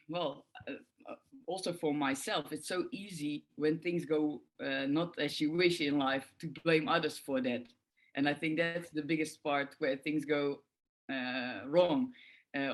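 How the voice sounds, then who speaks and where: A middle-aged woman from the Netherlands with a Dutch accent, speaking thoughtfully and with many filler sounds as a guest on forgiveness work. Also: low voice. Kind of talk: reflective interview answers.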